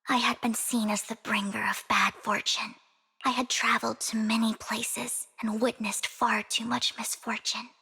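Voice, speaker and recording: A woman voicing a devoted, formal young woman in a video game cutscene, speaking in a hushed, breathy way. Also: a little high, heavily acted. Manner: hushed, breathy confiding delivery.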